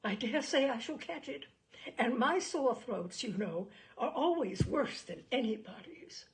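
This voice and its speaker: A woman reading a classic novel aloud, voicing a self-pitying, gossipy young married gentlewoman with an animated, complaining manner. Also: a little high, unhurried. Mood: peevish, complaining.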